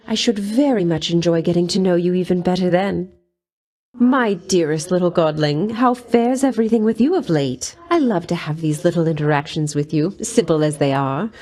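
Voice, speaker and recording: Sultry vain love goddess voiced by a woman, coy, teasing, lowish voice, sweetly menacing, game voice lines. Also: warm tone, lightly acted.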